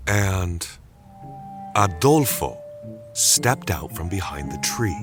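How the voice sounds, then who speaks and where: Low, dramatic male storyteller over music. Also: big pitch swings, slow. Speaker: storyteller, narrator. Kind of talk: dramatic scripted narration.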